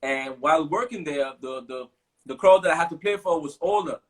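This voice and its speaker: A man in his thirties with a Caribbean English accent from Sint Maarten and a fairly high voice. He talks in a loose, relaxed way, telling stories with lots of fillers as an interview guest. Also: brisk, rough recording. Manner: relaxed storytelling with many fillers. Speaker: guest musician. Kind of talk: casual interview chat.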